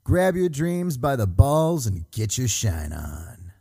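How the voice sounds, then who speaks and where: A man's voice: mid-range voice, sing-song, slow, warm tone, husky. A man reading a scripted comic ad for a podcast. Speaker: ad reader.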